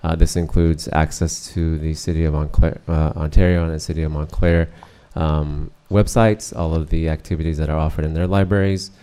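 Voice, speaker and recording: Low, dark-voiced monotone man. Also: normal speed, hardly any pauses, smooth. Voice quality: monotone, many uh fillers. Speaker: school district presenter. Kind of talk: formal board presentation.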